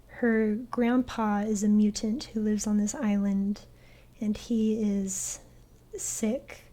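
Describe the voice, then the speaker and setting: Slow, mellow-voiced young woman streamer. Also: medium pitch, little pitch movement. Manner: soft, hesitant, many fillers. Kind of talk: casual gaming stream chat.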